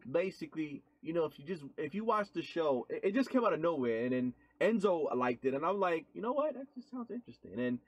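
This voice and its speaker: A man's voice: on the high side, big pitch swings, slightly rough, dry recording. Guy cohosting a podcast, keeps tripping over his words, dry dull lo-fi sound. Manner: halting, repeats words. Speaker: co-host. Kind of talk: casual podcast chat.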